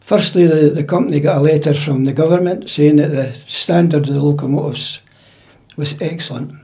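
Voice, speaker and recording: Elderly Scottish male interviewee, measured storytelling, mellow timbre, slightly high register. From central Scotland. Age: older, about 80. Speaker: interviewee, piping-band drummer. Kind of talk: conversational life-story recollection. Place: indoors at home.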